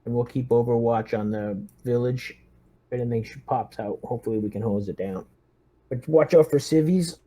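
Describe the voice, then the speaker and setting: Male tabletop player, extremely mellow dark tone, middle pitch, casual squad-leader tactical talk. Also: moderate pace.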